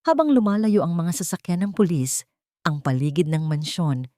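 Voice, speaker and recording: A woman narrating a scripted dramatic love story in a solemn, measured, literary way. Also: sits low, a little mellow, dry recording, studio quality.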